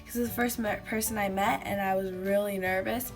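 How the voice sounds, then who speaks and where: A teenage girl vlogging casually to a webcam in her bedroom, telling stories with lots of fillers and giggles, her voice slightly hoarse from having lost it. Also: mid-range voice, fairly even intonation, fairly quick, faint music behind. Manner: chatty, giggly storytelling with many fillers. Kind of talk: casual chat to camera. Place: small bedroom indoors.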